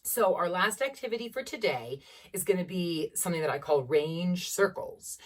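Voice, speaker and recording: A young woman with a generally low voice, teaching a beginner singing lesson from a keyboard at home and encouraging students as she explains. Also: lively intonation, normal speed, recorded from a distance. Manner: encouraging, demonstrates vocal sounds between explanations. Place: small room at home, seated at a keyboard.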